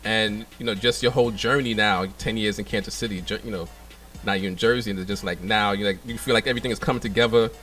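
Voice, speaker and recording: A man's voice: fairly low pitch, fairly even intonation, fast, a bit bright, recorded from a distance, soft background music. A middle-aged man hosting a radio show, interviewing a guest in a laid-back way with many fillers. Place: radio studio.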